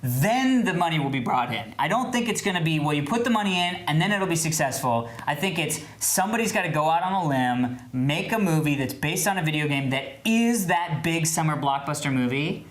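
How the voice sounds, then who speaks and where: Animated man in an echoey room. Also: a little high, a little fast, few pauses. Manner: animated, talks over others. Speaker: co-host. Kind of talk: casual debate on a podcast.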